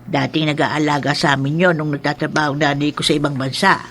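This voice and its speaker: A woman's voice: low-pitched, little pitch movement, quick pace, a bit bright, slight background noise. A woman playing a feisty, doting old grandmother in a radio drama, folksy and exclamatory. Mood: reminiscing. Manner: folksy, exclamatory, teasing and fussing.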